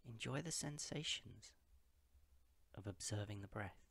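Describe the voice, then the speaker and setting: A man from India guiding a meditation as a yoga teacher, with slow, calm, hushed phrases and a breathy, husky voice that trails off toward a whisper. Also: lowish voice. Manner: slow, calm, hushed instruction in short pauses-separated phrases. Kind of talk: guided meditation.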